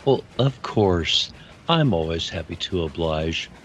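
An actor playing a courteous, curious man of mystery in a radio drama, genial and gently probing. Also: deep voice, expressive, slow pace, a little hiss or hum, full character voice. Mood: obliging, knowing. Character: courteous, curious, knowing male mystery traveller.

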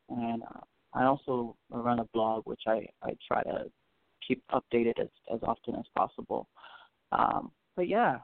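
A man from Haiti talks about himself as an interview guest, with a hesitant way of speaking that is full of fillers and restarts. His voice sits at an ordinary pitch. The sound is dry and tinny, like it is coming over a phone line. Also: vocal fry, dry recording. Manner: hesitant, many fillers and restarts, laughs easily. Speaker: guest artist. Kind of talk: casual interview.

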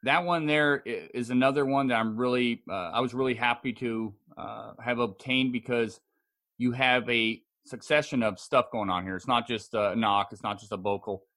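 A man's voice: medium pitch, moderate pace, a bit bright. Guy hosting a laid-back podcast, kinda hesitant, repeats himself, mid-range voice. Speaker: podcast host. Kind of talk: casual podcast discussion introducing audio clips.

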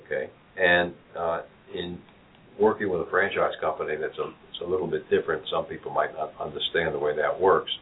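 A man's voice: a bass voice, steady tone, brisk, slightly rough. A man from New York City with a New York accent, hosting a business interview and asking probing follow-up questions. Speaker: show host. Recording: tinny phone-like audio.

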